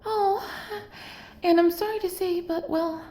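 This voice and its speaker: A woman's voice: very high-pitched, steady tone, measured pace, roomy sound. A woman playing an obsessive, lovestruck kidnapper in an intimate audio roleplay, doting and possessive, coaxing the listener with hesitant asides. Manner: soft, coaxing, hesitant asides, drops into whispers.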